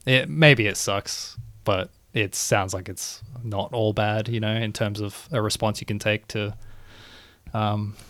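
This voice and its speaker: Low-voiced man musing in a rambling way. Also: fairly even intonation, unhurried, fairly bright tone, dry recording. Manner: rambling, hedging, filler-heavy. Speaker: podcast co-host. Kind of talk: casual chat.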